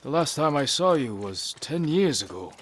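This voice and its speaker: An actor playing a determined, earnest samurai warrior in a video game, with a solemn, terse and resolute delivery. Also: male voice, on the high side, sing-song, moderate pace. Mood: questioning. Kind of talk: dramatic video game acting.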